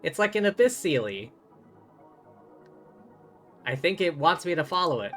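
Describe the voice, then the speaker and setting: Higher-pitched man, casual game streamer. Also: big pitch swings, a little slow, fairly bright tone, soft background music. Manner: musing aloud, jokey. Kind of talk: casual live gameplay commentary.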